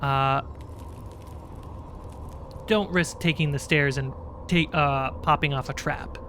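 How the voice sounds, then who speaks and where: A man acting as game master, improvising narration for a tabletop game in short, halting phrases. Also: fairly high pitch, fairly even intonation, pushed and bright, a little hiss or hum. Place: indoor livestream.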